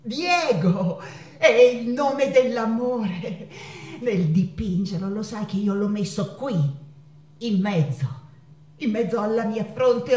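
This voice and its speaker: An actress playing an anguished, exhausted painter possessively in love, in a dramatic, repetitive theatrical stage monologue. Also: female voice, on the high side. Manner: dramatic, repetitive, swinging from cries to murmurs. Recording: echoey stage, distant microphone.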